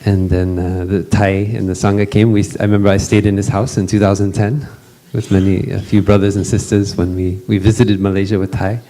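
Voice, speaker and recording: Soft-toned man introducing a speaker. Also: low voice, little pitch movement, brisk, few pauses. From Western country. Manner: hesitant, many fillers and restarts. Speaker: retreat panel moderator. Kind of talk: casual introduction to a gathering.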